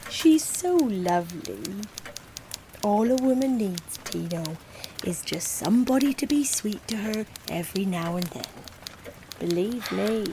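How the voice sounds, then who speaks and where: A slow, lilting, doting mother. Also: medium pitch, lively intonation, mellow, slight background noise. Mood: doting, coaxing. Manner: gushing, plaintive. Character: fussing, doting middle-aged mother, sentimental and meddling. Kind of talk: naturalistic audio drama acting.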